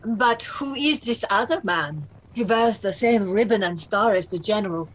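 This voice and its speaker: An actress playing a watchful, formal governess in a castle household in a radio play, speaking precisely and earnestly. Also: female voice, mid-range voice, animated, normal speed, lightly acted, low-quality recording. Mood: intent, watchful. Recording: clear background noise under the voice.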